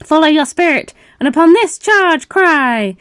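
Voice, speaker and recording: A woman performs a fierce little goblin commander with a high voice. The delivery is grandiose and declamatory, like a theatrical Shakespearean battle speech meant to rouse troops. The recording is close and dry. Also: expressive, a little slow, a bit bright, dry recording. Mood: stern, proud. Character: small fierce goblin war leader rallying the troops.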